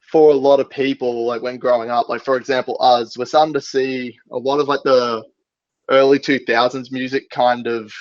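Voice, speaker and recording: A young man with an Australian accent, chatting in a halting, informal way on a group podcast. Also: medium pitch, no echo. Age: young adult. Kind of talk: casual group podcast chat. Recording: slightly dull, lo-fi audio.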